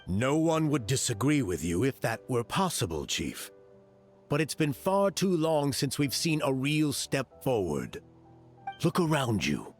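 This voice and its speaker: Older male game elder, proud, formal, very expressive delivery, light music behind. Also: medium pitch, unhurried. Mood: troubled, insistent. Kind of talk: video game character acting.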